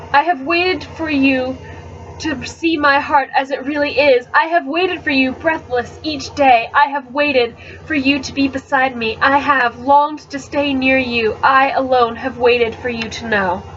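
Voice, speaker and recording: A woman giving an expressive, theatrical amateur reading of a first-person novel, with the odd stumble, on a webcam mic. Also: high voice, a little slow. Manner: expressive and theatrical, stumbles over words now and then. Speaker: amateur book reader. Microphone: webcam microphone. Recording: dull, slightly noisy webcam audio.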